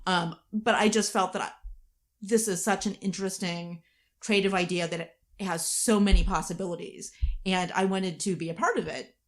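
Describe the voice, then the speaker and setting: A woman's voice: medium pitch, fairly even intonation, normal speed. A woman co-hosting a podcast in casual, conversational style, talking about her creative work. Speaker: podcast co-host, writer and performer. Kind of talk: casual behind-the-scenes podcast chat.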